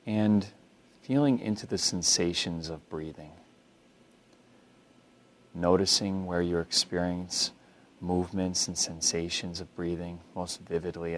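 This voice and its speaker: A man leading a guided body scan meditation as a mindfulness instructor, speaking in a calm, unhurried and gently instructive way. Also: sits low.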